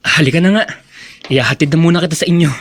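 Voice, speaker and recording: Radio drama, dutiful young man: Filipino accent, breathy-rough voice, troubled and evasive. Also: fairly high pitch, rapid-fire, a bit bright, dry recording. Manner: evasive, earnest.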